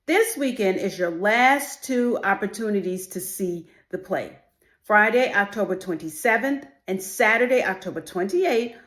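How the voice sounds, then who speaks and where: A woman's voice: medium pitch, a bit bright. A woman hosts a podcast interview in a mid-range voice. The recording has plenty of room echo around her words. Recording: echoey room.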